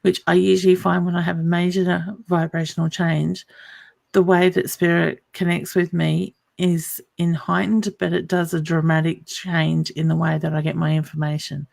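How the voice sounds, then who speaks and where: A woman's voice: fairly low pitch, level tone, moderate pace, creaky, a little mellow, no room sound. A woman psychic medium hosting a casual spiritual chat, talking chattily, laughing often and trailing off mid-sentence.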